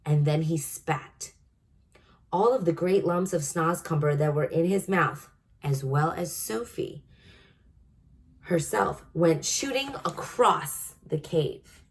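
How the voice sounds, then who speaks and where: A woman reading a children's book aloud as an expressive storyteller. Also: sits low, takes it slowly.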